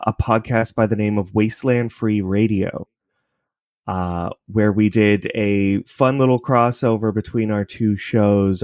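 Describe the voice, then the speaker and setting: A man's voice: fairly low pitch, a little mellow, no echo, studio quality. A man talking casually as a podcast guest, explaining things in a rambling, self-correcting way. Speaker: podcast creator, interview guest. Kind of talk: casual interview chat.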